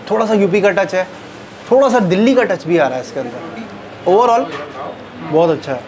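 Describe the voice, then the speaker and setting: A middle-aged man chatting enthusiastically as he tastes food on a casual vlog, speaking into a lapel mic in a small eatery. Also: high-pitched. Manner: enthusiastic, chatty, tasting and commenting. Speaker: food vlogger. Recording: background music under voice.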